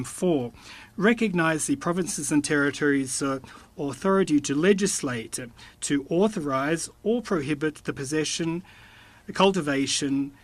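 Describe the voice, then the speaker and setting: A man interpreting formal committee testimony, with a measured, halting delivery. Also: a little high, a bit bright.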